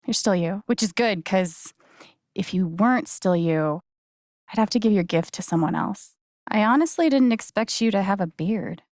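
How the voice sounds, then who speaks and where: An actress playing a young adult woman in a video game, warm, awkward and sarcastic, talking in a casual, halting, naturalistic way. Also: mid-range voice, dry recording, studio quality. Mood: playful teasing.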